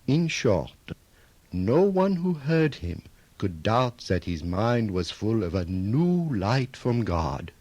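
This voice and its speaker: An actor playing a reverent medieval biographer, declaiming an admiring historical account in a deliberate, husky voice. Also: male voice, on the low side, very animated, a little mellow, dry recording, heavily acted. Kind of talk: dramatized reading of a historical account.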